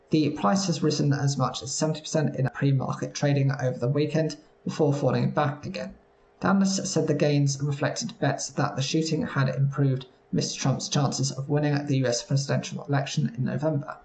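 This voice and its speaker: A man reading news stories aloud at a steady pace, sometimes stumbling over words. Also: on the high side, echoey room, low-quality recording. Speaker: news reader.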